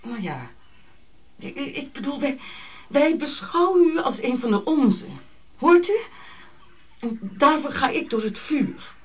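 An actress playing a proud, middle-aged colonial lady in a radio play, effusive and halting, easily wounded. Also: high-pitched, sing-song. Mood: pleading, indignant. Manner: dramatic, halting, repeating herself.

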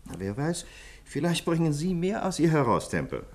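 An actor playing a courteous, authoritative senior London police chief in a 1950s German radio play. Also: male voice, a little high, sing-song, normal speed. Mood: concerned, earnest.

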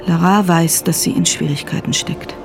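An actress playing the matter-of-fact narrator of a crime radio drama, reading scripted prose. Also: female voice, on the low side, steady tone, moderate pace, music in the background, close to an everyday voice. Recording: clear ambient background noise.

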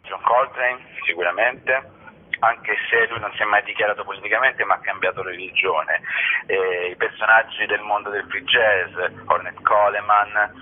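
A man's voice: lowish voice, steady tone, normal speed, slight background noise. A man, an author being interviewed, speaking casually in a hesitant, rambling, thoughtful way. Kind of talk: casual radio phone interview. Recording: muffled narrow-band telephone line.

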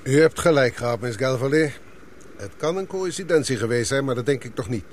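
An actor playing a formal, grateful official in a radio drama. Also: male voice, medium pitch, lively intonation, fairly quick, slight background noise, natural voice. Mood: solemn, grateful. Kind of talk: radio drama acting in Dutch.

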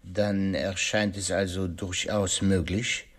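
An actor playing a thoughtful, methodical detective who questions witnesses with measured courtesy in a radio play. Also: male voice, sits low, little pitch movement, heavily acted. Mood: probing, courteous. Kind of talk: German radio play acting.

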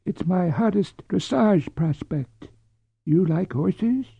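A man's voice: high-pitched, lively intonation, slow pace, creaky, mellow, breathy edge, no room sound, dull audio, voice pushed up, full character voice. A man voicing a crusty, reserved old aristocrat with a dry, clipped manner, in an audiobook. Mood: bemused. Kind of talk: audiobook character voice.

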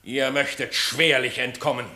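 A man's voice: medium pitch, steady tone, normal speed, pushed and bright. An actor playing a stern animal king in a radio play, declaiming imperiously and with indignation. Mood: stern, indignant. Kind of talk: classic German radio play verse acting. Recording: roomy, echoing studio sound.

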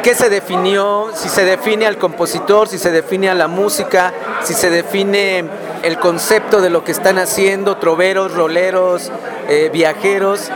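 Mexican male radio host, high-pitched, informal and rambling, crowd noise clearly behind. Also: fairly even intonation, measured pace. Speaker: interviewer, show host. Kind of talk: casual radio interview.

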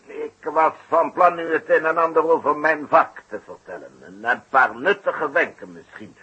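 A man's voice: on the high side, moderate pace, bright, forceful tone, husky, dull audio, heavily acted. An actor playing a vain, hot-tempered male circus knife thrower who talks in a pompous, bossy way in a 1960s radio play. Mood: boastful.

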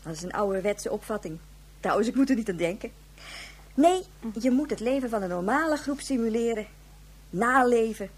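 An actress playing a blunt, chatty station leader in a radio drama, breezy and outspoken, with a husky voice. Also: female voice, a little high, lively intonation, normal speed, long pauses. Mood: blunt, explaining.

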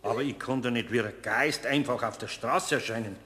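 A man's voice: mid-range voice, brisk, bright, forceful tone. An actor playing a good-natured, grumbling older master craftsman in a children's radio play, with a Bavarian accent and a homely, mildly chiding manner. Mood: doubtful, chiding. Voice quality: husky.

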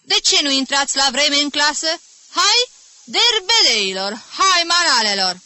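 A woman voicing a small, irritable male music teacher around fifty who shouts insults at his pupils and mocks them. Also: fairly high pitch, sing-song, pitched above the performer's own voice, full character voice. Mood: furious. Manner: shouting insults, mocking and ironic.

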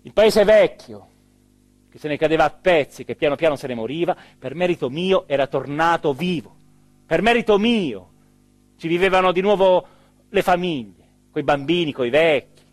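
An actor playing an embittered, abandoned small-town mayor in a theatrical monologue, with a southern Italian accent, moving emotionally between low murmurs and loud declaiming. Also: male voice, lively intonation, normal speed, no echo, lightly acted. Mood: proud, impassioned. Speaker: stage actor.

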